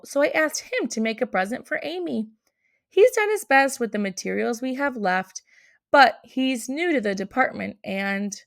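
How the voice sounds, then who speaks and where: A woman reading a children's storybook as a harried, apologetic head elf, brisk and fussy. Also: a little high, creaky, fairly bright tone, no room sound, clean studio sound. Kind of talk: children's storybook read-aloud.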